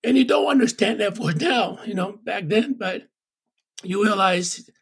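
Interview guest, man; higher voice, husky, earnest and conversational. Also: expressive. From Tonga. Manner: earnest, fills pauses with "you know". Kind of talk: personal storytelling in conversation.

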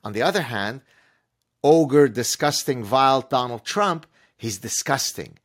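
Emphatic man with Arabic as his first language, a podcast guest who talks with animated sarcasm at an ordinary pitch for a man. He lays on his points with heavy, pointed emphasis. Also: unhurried. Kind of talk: podcast conversation.

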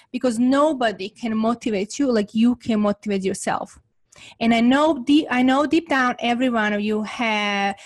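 Woman, Serbian accent, slightly high pitch; earnest interview guest who keeps checking in with "right?". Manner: earnest, repeats "right?" often. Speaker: guest entrepreneur. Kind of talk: motivational interview talk.

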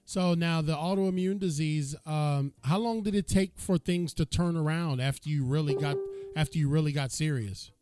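A man's voice: a little high, normal speed, over music. A man hosting an interview, chatting in a folksy, joking manner. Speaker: host. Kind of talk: casual interview chat.

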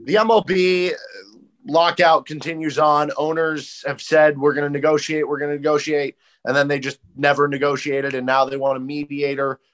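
A man's voice: on the high side, little pitch movement, normal speed, a bit bright, low-quality recording. Congested man hosting a podcast. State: congested from a cold. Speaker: podcast co-host. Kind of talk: casual chat.

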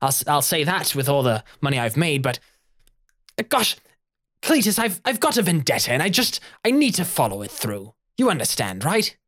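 A woman's voice: deep voice, big pitch swings, normal speed. A woman voicing a stout, jovial, scheming old male crook who rambles and wheedles. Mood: wheedling. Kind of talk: tabletop roleplay.

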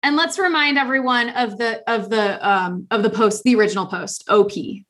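A woman co-hosting a podcast in a casual chat, animated, laughing often and talking with many fillers. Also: on the high side, normal speed. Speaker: podcast co-host.

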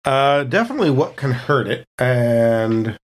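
A man's voice: medium pitch, animated, unhurried. A man chatting casually as a tabletop game player, thinking aloud in a hesitant, wry, conversational way.

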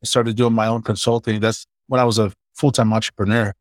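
A man's voice: lowish voice, flat delivery, a little fast, no echo. Easygoing grandfather from Hawaii, dry close sound. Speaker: startup founder and guest. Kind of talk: casual interview talk.

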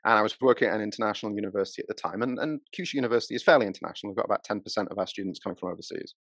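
A man with a somewhat low voice explains his research patiently, pausing and restarting as he thinks. The sound is dry and close. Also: fairly bright tone, no room sound. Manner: explanatory, academic, with frequent restarts. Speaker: university researcher and lecturer. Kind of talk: conversational interview. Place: Japan.

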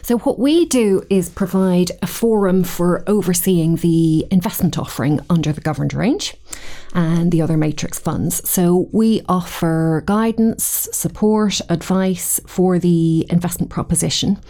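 A middle-aged woman with a Dublin Irish accent, answering podcast interview questions in a measured, thoughtful way with occasional restarts. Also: on the low side, dark tone. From Ireland. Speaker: guest, committee chair.

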